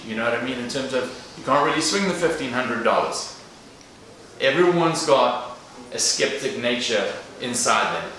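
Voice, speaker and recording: A man's voice: mid-range voice, sharp tone. A man in his thirties with a South African accent, giving an earnest motivational talk to a live audience. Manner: earnest, direct, addresses the crowd. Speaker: guest speaker. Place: large echoey event room. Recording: echoey room, distant mic, faint noise.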